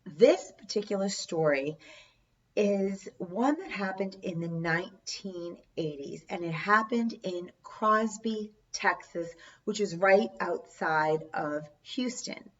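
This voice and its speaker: A woman's voice: medium pitch, animated, slow. A middle-aged woman who is losing her voice hosts her own channel and tells a true haunting story in a chatty, earnest way with small asides. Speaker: channel host. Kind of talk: storytelling vlog. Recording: distant, dull lo-fi sound.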